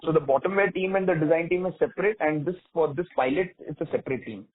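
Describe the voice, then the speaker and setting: A man's voice: on the high side, fast, breathy edge. Indian-accented man on a tinny phone line. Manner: explanatory, repeats and rephrases points. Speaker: company executive answering analysts. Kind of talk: earnings call question and answer. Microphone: telephone conference call.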